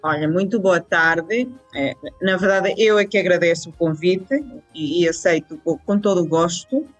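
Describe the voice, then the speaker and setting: A woman's voice: fairly low pitch, moderate pace, soft background music. Earnest Venezuelan woman guest. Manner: warm, earnest, with repeated restarts. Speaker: university department head. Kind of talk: interview answers.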